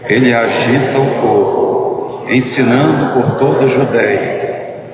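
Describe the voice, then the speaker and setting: A man reading the part of an insistent accuser in a dramatized scripture reading, with deliberate, declamatory delivery. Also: mid-range voice, close to an everyday voice. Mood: accusing. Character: accusing, insistent crowd of priests and people. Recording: echoey room, loud background noise.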